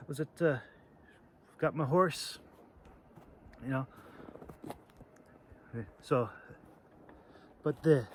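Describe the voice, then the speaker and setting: A man hosting a casual, off-the-cuff demonstration video, talking in a halting, rambling way with phrases that trail off. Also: medium pitch, a little hiss or hum. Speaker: video host demonstrating sword carry.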